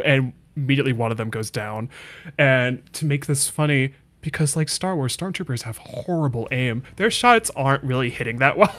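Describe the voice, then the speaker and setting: A man's voice: mid-range voice, a bit bright. A man running a tabletop game as game master, chatty and enthusiastic and laughing often.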